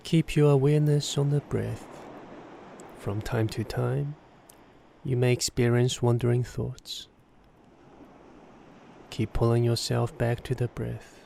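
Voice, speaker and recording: Slow, gravelly male meditation guide. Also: mid-range voice, level tone, dark tone, slight background noise. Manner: measured, instructional, with deliberate pauses between short phrases.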